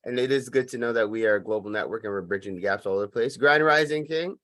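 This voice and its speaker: A man's voice: medium pitch, fast, fairly bright tone, no echo, studio quality. A man informally hosting an online business meeting in an encouraging, easygoing way. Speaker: meeting host. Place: online video call.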